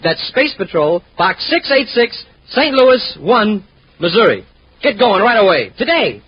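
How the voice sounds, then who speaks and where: Boyish space cadet sidekick: high, husky, eager American voice, old-time radio adventure delivery. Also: male voice, a little slow, no echo, exaggerated voice. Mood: playful, chipper. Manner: excitable, folksy exclamations. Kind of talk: 1950s radio adventure acting. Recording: muffled vintage radio recording.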